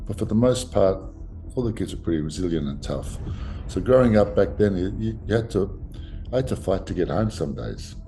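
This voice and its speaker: Australian man, 60s, low gravelly dark voice, blunt rambling interviewee. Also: animated, a little fast, faint music behind, rough recording. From Melbourne, Australia. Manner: blunt, rambling, restarts often. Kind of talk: casual interview.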